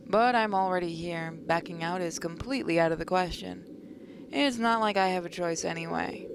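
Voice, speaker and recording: A woman's voice: fairly low pitch, background noise. A woman hosting a gaming video, reading visual novel text aloud expressively with casual commentary.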